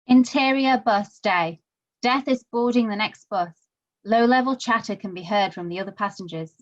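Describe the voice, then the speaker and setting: A woman's voice: mid-range voice, moderate pace. Muffled woman narrating a script. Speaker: narrator reading stage directions.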